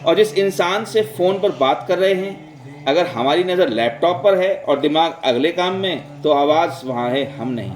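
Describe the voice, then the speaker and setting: A man's voice: on the high side, lively intonation, moderate pace. Echoey man telling reflective stories. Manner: addresses listeners directly, mixes in English words. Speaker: vlog host and storyteller. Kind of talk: conversational reflective storytelling. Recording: roomy, echoing sound.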